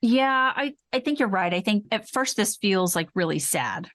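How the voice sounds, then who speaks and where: A woman's voice: medium pitch, expressive, moderate pace, a bit bright, no room sound. A woman co-hosting a true crime podcast, articulate and conversational as she moves between notes and discussion. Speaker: podcast co-host.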